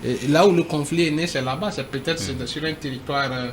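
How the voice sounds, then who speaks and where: A man speaking as a debate panelist, halting and repeating himself but emphatic. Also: fairly high pitch, slight background noise. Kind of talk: debate commentary.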